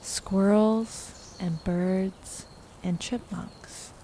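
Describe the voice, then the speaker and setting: A woman narrating a guided meditation softly and slowly, breathy and often close to a whisper. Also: mid-range voice, fairly even intonation, slight background noise. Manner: soft, slow, partly whispered.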